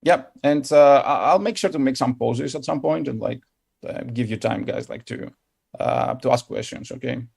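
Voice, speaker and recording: Greek-accented man with a creaky voice. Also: medium pitch, darkish tone. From Greece. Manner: hesitant, halting delivery with constant "like" and "um". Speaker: guest speaker, tech company product professional. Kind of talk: informal meetup presentation.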